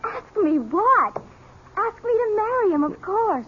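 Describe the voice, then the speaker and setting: A headstrong young woman in love, speaks in a very high, highly animated voice. Her delivery is impassioned and theatrical, in the manner of 1970s radio drama. The old recording is dull, with faint noise. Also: a little slow, heavily acted. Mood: playful, hopeful. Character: slender, horse-loving, lovestruck young woman, headstrong and intense.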